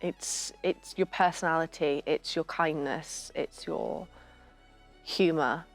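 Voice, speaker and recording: A woman's voice: sits low, steady tone, slow pace, faint music behind. A woman in a documentary interview, speaking frankly and earnestly.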